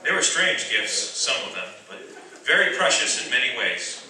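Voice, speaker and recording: An actor playing an earnest, anxious young American prisoner of war in a radio play. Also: male voice, medium pitch, sing-song, normal speed, sharp tone. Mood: solemn, tense. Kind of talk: radio theatre narration and dramatic acting. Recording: echoey hall from far off, music behind.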